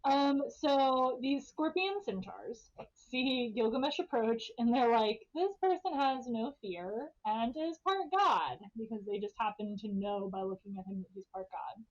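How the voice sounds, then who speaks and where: High-voiced woman casually retelling a story. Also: measured pace, few pauses, lo-fi sound. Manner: irreverent, slangy, frequent swearing. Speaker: podcast host telling a story.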